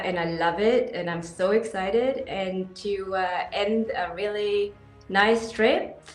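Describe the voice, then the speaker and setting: A woman in her thirties who grew up in Sweden, talking eagerly in an interview with frequent ums and pauses. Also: medium pitch, echoey room. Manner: enthusiastic, hesitant with many fillers. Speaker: interview guest, equestrian athlete. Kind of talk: casual interview answers.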